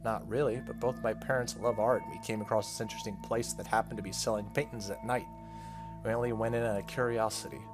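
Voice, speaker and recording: A man's voice: on the low side, fairly even intonation, fast talker, over music, close to an everyday voice. Man reading as an uneasy, frank young girl. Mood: uneasy, earnest. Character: frank, uneasy teenage girl telling a story.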